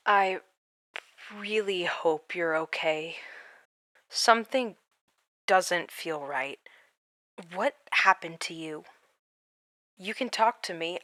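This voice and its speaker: A bright, clear voice, a little low, belongs to a worried female therapist, played by a woman in an audio drama. She speaks slowly and earnestly, as if leaving a careful message for someone she cares about. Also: no echo, clean studio sound. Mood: anxious, caring. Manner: hesitant, slow, earnest. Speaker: therapist character.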